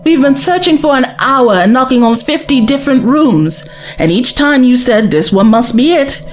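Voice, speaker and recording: A woman's voice: high voice, animated, moderate pace, faint music behind. An actress playing a spirited, sceptical young woman, a traveller's companion in an audio drama, questioning and cheeky. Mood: exasperated. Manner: questioning, cheeky, exasperated edge.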